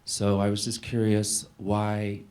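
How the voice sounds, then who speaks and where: A man posing an unscripted question at a public event in a measured, formal, polite way. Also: lowish voice, completely flat delivery, a little mellow. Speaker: audience member asking a question.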